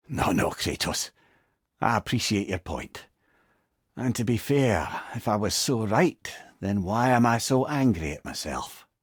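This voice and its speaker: A witty old scottish storyteller from a video game, wistful n wry, voice goes up and down loads, really dry close sound. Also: male voice, lowish voice, breathy edge, no echo, full character voice. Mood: rueful, self-reproaching. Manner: eloquent, musing, confiding, wry. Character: Mimir, witty, wistful old severed talking head.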